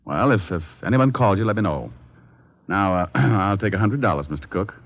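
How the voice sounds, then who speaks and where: Breezy wisecracking radio private eye. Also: male voice, on the low side, expressive, a little fast. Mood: brisk, wry. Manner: wry, flippant, playful. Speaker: lead actor and narrator. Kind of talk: 1940s radio detective acting. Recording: muffled old radio broadcast.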